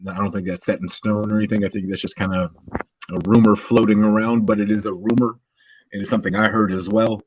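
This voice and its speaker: Laid-back, low-voiced male stream host. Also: level tone, quick pace, highs cut off, slight background noise. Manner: laid-back, drawling, many "uh" and "um" fillers. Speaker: livestream host. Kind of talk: casual chat, reading chat messages.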